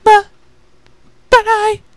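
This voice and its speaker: This is a tiny, timid girl creature from a fantasy story, put on by a man. The voice is extremely high and squeaky, far from the narrator's own. It stammers and whimpers, always innocent and pleading. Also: completely flat delivery, extremely slow, fairly bright tone, no echo, exaggerated voice. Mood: sad, meek.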